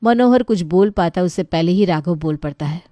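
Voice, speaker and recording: A woman's voice: lowish voice, lively intonation, normal speed, warm tone, dry recording. A woman narrating a scripted story as a storyteller.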